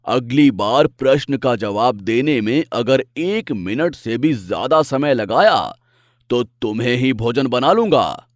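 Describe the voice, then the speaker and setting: A man's voice: mid-range voice, expressive, slow, dry recording, studio quality. A man voicing a bullying, boastful lion king in a cartoon animal fable, demanding and blustering. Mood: bossy, threatening. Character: bullying boastful lion king, cowed and whining once beaten.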